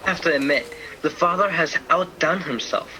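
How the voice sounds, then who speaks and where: A man's voice: a little high, moderate pace, natural voice. A man playing an earnest, loyal guardian angel, conversational in delivery, in a radio play. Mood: admiring wonder. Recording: noisy home recording with ambience.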